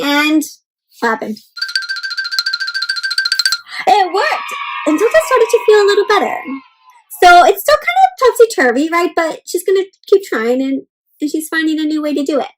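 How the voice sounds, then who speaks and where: A woman with a distinctly high-pitched voice reads to young children in an interactive storytime style. She is expressive and encouraging, speaking in simple, child-directed phrases and inviting listeners to answer. Faint music accompanies her voice. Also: normal speed, pauses often, rough recording. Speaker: children's read-aloud host. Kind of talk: interactive picture-book read-aloud to children.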